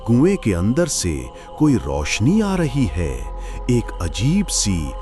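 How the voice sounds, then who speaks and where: A man tells a horror story in a low, slow voice over background music. His delivery is dramatic and suspenseful, with wide swings in intonation that build dread deliberately. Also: huge pitch swings. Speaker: narrator of a horror audio story. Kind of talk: dramatic scripted horror narration.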